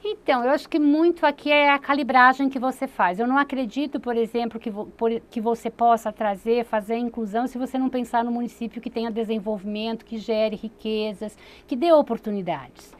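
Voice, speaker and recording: A woman's voice: on the high side, fairly quick, hardly any pauses. A woman, a city secretary explaining her views in an earnest studio interview, with many fillers and restarts. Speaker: municipal secretary, interview guest.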